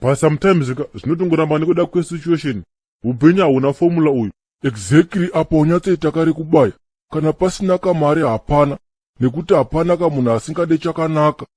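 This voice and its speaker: A man's voice: on the high side, fast talker, darkish tone, no room sound, clean studio sound, lightly acted. A man playing a pragmatic husband in a comedy cartoon, defending himself in Shona mixed with English. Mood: defensive.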